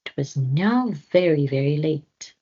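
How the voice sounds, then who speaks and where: A woman reading a children's storybook aloud in an expressive, unhurried way. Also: deep voice, breathy edge, dull audio.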